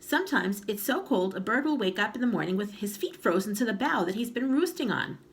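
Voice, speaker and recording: A woman's voice: medium pitch, animated, brisk, natural voice. A woman reading a storybook aloud, voicing a kindly, chatty bird in a cheerful, reassuring tone.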